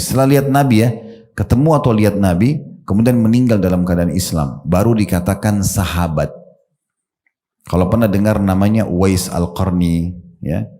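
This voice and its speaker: A man's voice: lowish voice, mellow. A middle-aged male preacher giving a sermon, talking straight to his listeners and explaining with everyday examples and questions. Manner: addresses his listeners directly.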